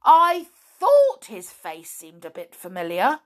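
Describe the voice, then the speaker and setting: A woman voicing a grumpy, excitable male rabbit who bursts out loudly. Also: medium pitch, unhurried, lightly acted. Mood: indignant. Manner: blustering, loud outbursts.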